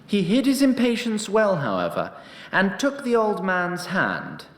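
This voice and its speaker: A man's voice: high-pitched, huge pitch swings, unhurried, a bit bright. Echoey male fantasy narrator. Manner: formal, wry. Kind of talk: scripted fantasy story narration. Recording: roomy echo.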